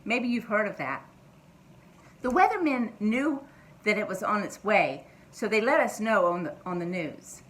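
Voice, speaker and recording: A woman guest telling a personal story to children, in simple words with expressive delivery. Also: mid-range voice, unhurried.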